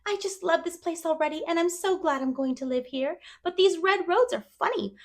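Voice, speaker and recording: A woman's voice: very high-pitched, animated, moderate pace, voice pushed up. A woman voicing a talkative, dreamy eleven-year-old orphan girl with effusive wonder and dramatic emphasis, in a storybook reading. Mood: delighted, wistful.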